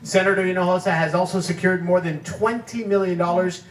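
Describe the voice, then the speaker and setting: A man presenting an award, reading a prepared speech full of facts and figures in a measured way. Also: high voice, steady tone. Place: banquet hall. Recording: echoey hall, distant mic.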